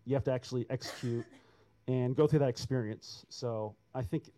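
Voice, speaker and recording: A man's voice: mid-range voice, normal speed, mellow. A man answering an audience question on an artists' panel, rambling and restarting his sentences. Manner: rambling, self-correcting.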